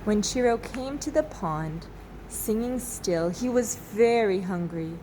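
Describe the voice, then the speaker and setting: A woman teacher reading a children's storybook aloud expressively, with dramatic pauses. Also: medium pitch, animated, warm tone, slight background noise, low-quality recording. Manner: slow, dramatic pauses, asks listeners questions.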